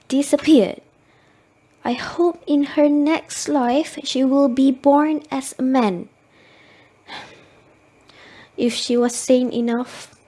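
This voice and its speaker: A woman's voice: high-pitched, warm tone. A woman playing a compassionate young friend and neighbour in a radio drama.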